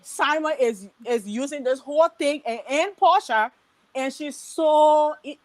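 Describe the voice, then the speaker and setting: A woman's voice: sits high, unhurried. A woman from Liberia calling in on a video call and emphatically sharing her opinions. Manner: emphatic, gives opinions, laughs often. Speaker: caller. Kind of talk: casual opinionated chat.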